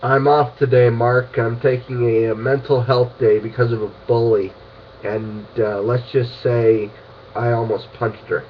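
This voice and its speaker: A man with a mid-pitched voice chats on ham radio. His signal is somewhat muffled, with faint scratchy noise. He talks casually, in unscripted turns. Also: level tone, unhurried. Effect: two-way radio. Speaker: amateur radio operator.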